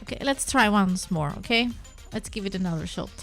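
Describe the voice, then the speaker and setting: A young woman with a Greek accent, hosting a relaxed live stream and chatting playfully with viewers while she works on a website. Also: medium pitch, a little fast, vocal fry, soft background music. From Greece. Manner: chatty and playful, talks to chat viewers by name, thinks aloud while working. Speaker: livestream host.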